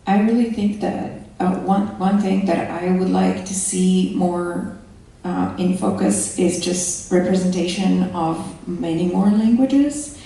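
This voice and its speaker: Echoey distant woman, gravelly voice. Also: on the low side, slow, dark tone. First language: Slovenian. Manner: thoughtful, hesitant restarts. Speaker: panelist. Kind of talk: conference panel discussion. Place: large conference hall. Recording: echoey hall from far off.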